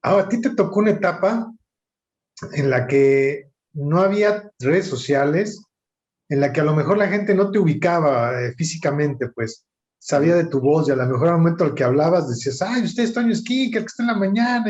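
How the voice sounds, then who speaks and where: A man's voice: on the high side, animated, fairly quick, a little mellow. A man, an interviewer asking long, rambling questions with fillers. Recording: dull lo-fi recording.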